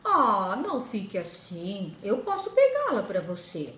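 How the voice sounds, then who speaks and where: A crafty, bargaining storybook frog with a lowered, coaxing voice, as a woman acts him out. He makes his deals slowly and slyly. The room is echoey. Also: wildly swooping pitch, fairly bright tone, slight background noise. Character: sly bargaining male frog.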